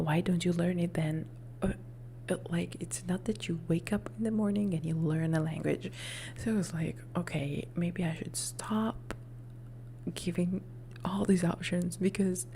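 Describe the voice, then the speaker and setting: A woman whose first language is Italian talks in a soft, close whisper on a live ASMR stream. Her voice is low and dark with a gravelly edge, and she rambles and corrects herself casually. A faint hiss sits behind her. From Italy.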